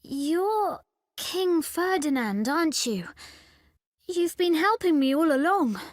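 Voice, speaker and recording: A woman's voice: very high voice, expressive, slow, dry recording, clean studio sound, full character voice. An actress playing a sincere, wide-eyed young boy king in a video game. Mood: puzzled, astonished.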